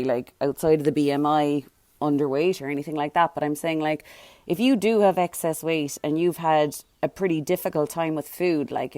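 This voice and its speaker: A hoarse woman hosting a casual solo podcast, chatting loosely with plenty of fillers and tangents. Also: low voice, warm tone, no room sound. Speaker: podcast host.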